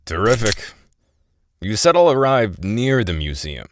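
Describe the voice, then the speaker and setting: A man's voice: fairly low pitch, sing-song, unhurried, a little hiss or hum. A man acting in an audio play as a wry, casual middle-aged thief. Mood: wry, curious. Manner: casual, wry, hesitant asides. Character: wisecracking middle-aged thief recruited to time-travel.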